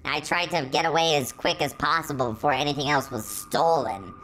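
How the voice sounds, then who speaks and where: A woman's voice: low-pitched, fairly quick, a bit bright, low-quality recording. A woman voicing a polite, weary female robot stranger with a courteous, formal way of speaking. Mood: weary, earnest.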